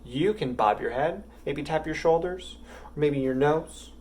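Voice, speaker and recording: A man's voice: on the high side, unhurried, darkish tone, a little hiss or hum. A man teaching a music lesson to young children, patient and encouraging.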